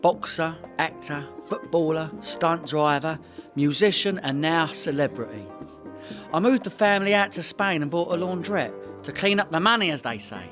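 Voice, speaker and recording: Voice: mid-range voice, normal speed, over music, lightly acted. An actor playing a boastful male petty crook, cocky and full of himself, in a radio comedy. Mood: smug. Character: boastful male petty-crook dad, self-made legend. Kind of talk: radio comedy acting and narration.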